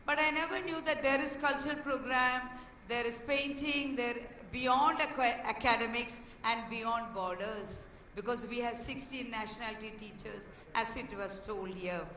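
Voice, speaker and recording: A woman giving an earnest, hoarse speech as a school head at an event, pausing with fillers and restarts. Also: a little high, bright, forceful tone. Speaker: guest speaker, school head. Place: large hall. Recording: echoey hall, distant mic, faint noise.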